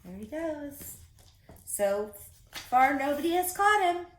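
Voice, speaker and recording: A woman reading a picture book aloud for preschoolers, expressive and speaking directly to her young listeners. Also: high voice, takes it slowly. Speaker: children's story reader.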